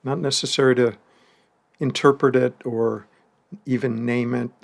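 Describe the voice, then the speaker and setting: A man leading a guided meditation, speaking slowly and gently with long pauses and the odd soft laugh. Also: medium pitch, fairly even intonation, gravelly. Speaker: meditation teacher.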